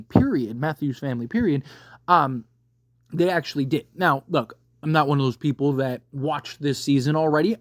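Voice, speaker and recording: A man's voice: on the high side, no room sound, studio quality. A man giving casual spoken commentary as a video creator, emphatic and often rephrasing his points to stress them. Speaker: video creator reviewing a TV series.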